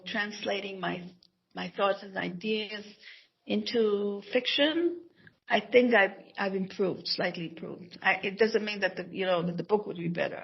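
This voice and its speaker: Husky, gravelly woman, Hebrew native. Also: mid-range voice, lo-fi sound. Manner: hesitant, reflective, many restarts and soft laughs. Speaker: author. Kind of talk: interview answers.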